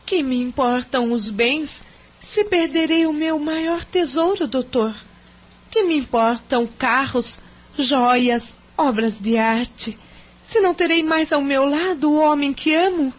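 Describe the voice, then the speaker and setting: Refined, heartbroken young Brazilian wife played by an actress, high voice, melodramatic delivery, muffled recording. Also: female voice, takes it slowly. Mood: anguished. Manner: melodramatic, sorrowful, formal. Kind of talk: audio drama acting. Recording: muffled lo-fi audio.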